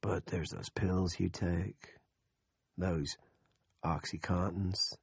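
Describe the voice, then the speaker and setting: A man voicing a menacing middle-aged town boss who speaks in a low, folksy, quietly threatening way. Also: fairly even intonation, normal speed, no echo.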